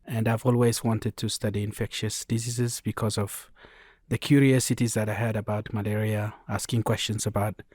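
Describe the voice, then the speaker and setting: A man with an Ethiopian accent, explaining as an expert guest in a podcast interview in a measured way. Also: on the low side, flat delivery, darkish tone, breathy edge, dry recording, studio quality. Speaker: genomics programme lead, interview guest.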